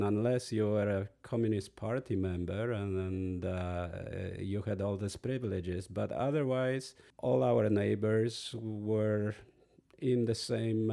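A man in his sixties from Poland with a Polish accent, a podcast guest slowly and thoughtfully recalling his past. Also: fairly low pitch, creaky, dark tone. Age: 60s. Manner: measured, thoughtful, pauses and restarts. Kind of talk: slow reflective storytelling.

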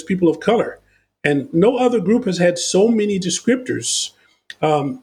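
An American man, a podcast guest, telling stories in animated conversation, often restarting his sentences. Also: a little high. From United States. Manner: animated, uses analogies, repeats words when starting thoughts. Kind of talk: conversational storytelling.

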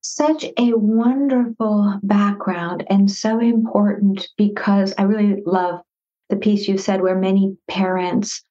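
A woman's voice: medium pitch, steady tone, slow pace, dark tone, dull audio. A woman hosting a podcast, introducing and interviewing guests in an effusive, affirming manner. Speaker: podcast host.

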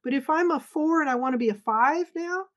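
A woman's voice: high-pitched, a little fast. A middle-aged woman guest in an interview, talking earnestly and emphatically and acting out what others say. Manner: earnest, emphatic, acts out quotes. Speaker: guest speaker. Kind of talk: conversational interview.

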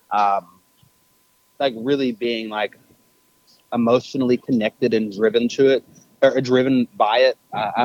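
A man's voice: on the low side, no echo. Man, phone interview, dull muffled line, dry sound, hesitant with fillers and restarts. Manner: thoughtful, many fillers and self-corrections. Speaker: podcast guest, business owner. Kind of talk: casual interview talk. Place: on a phone call.

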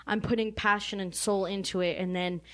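A woman's voice: mid-range voice, fairly even intonation, fairly quick, fairly bright tone. A woman being interviewed about her band, chatting animatedly and rambling with lots of fillers and frequent laughter. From Mexican American. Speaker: band member, guest. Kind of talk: casual interview chat.

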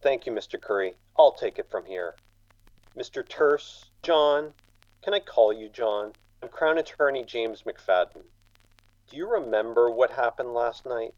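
Radio drama role, male actor: formal, stern lawman of the court, mid-pitched, closely recorded. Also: measured pace, darkish tone, no room sound, highs cut off, natural voice. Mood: formal, questioning. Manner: formal, authoritative. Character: stern, formal male crown attorney making an arrest.

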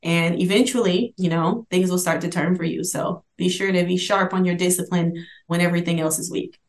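A middle-aged woman from Hawaii, a managing broker, talking as a guest in a casual interview with brisk, affirming replies. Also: fairly low pitch, steady tone. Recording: echoey room.

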